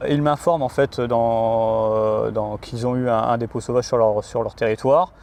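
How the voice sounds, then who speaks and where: A man working as a rural police officer, explaining his job in an interview with frequent pauses and repeated words. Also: mid-range voice, steady tone, a little mellow. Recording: outdoor urban noise, vehicles behind.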